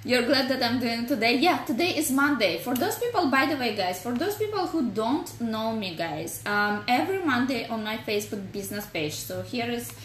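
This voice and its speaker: A woman's voice: on the high side, lively intonation, normal speed, a bit bright. Woman, Russian accent, business coach, casual live-stream chat, roomy echo. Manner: chatty, greets viewers by name, many fillers. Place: home office, indoors. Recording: echoey room with faint noise.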